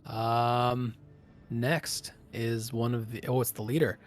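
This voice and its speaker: A man running a tabletop game as game master, narrating the action casually and thinking aloud in halting phrases. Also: medium pitch, flat delivery, faint music behind.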